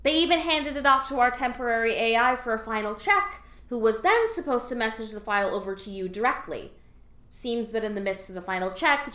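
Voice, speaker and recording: A woman's voice: a little high, bright, forceful tone, roomy sound. A woman playing a bossy, slick alien office clerk in a scripted audio comedy, sarcastic and falsely breezy with superiors.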